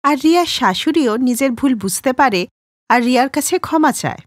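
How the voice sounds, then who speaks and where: A woman narrator reading a scripted children's story in an expressive way. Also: sits high, a little fast, no echo, clean studio sound.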